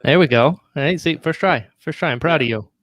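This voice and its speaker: A man's voice: fairly high pitch, a little fast, a bit bright. A man chatting casually as a podcast guest, joking and self-deprecating. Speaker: podcaster and audio drama writer, guest. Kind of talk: casual chat.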